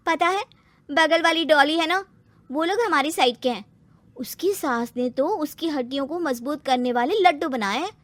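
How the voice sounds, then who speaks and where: Woman acting a high, whiny young daughter-in-law. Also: normal speed, no room sound, close to an everyday voice. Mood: complaining. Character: young new mother, complaining, put-upon daughter-in-law. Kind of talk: cartoon story character acting.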